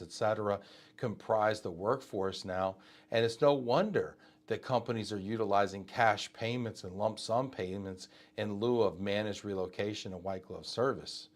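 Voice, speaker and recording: A man from Texas hosting a live interview, talking in quick conversational bursts with many asides and fillers. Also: lowish voice. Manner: talks fast with many asides and fillers, speaks to viewers directly. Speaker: host of a live show.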